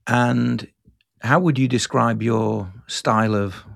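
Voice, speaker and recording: A man hosting a podcast and interviewing a guest in a relaxed, plain-spoken way. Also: medium pitch, takes it slowly, vocal fry. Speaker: podcast host.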